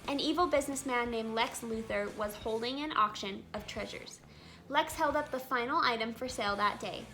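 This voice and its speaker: A woman hosting a children's read-aloud, telling a storybook in an animated, expressive way with emphatic exclamations. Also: a little high, normal speed. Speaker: read-aloud channel host. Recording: music behind the voice. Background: music.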